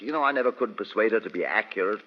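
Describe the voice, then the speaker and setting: An actor playing a blustering, evasive villainous doctor, hesitant and indignant in an old radio play. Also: male voice, on the low side, expressive, very fast. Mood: fussy explaining. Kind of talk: old-time radio drama acting. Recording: dull old radio recording, long reverb.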